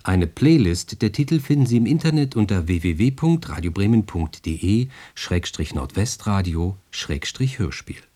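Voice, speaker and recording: Deep, mellow male radio announcer. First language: German. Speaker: radio host. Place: radio studio.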